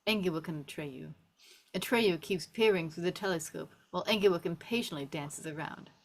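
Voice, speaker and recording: Woman reading stage directions for a table read, slightly low voice, storyteller narration. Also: fairly quick. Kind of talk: scripted narration.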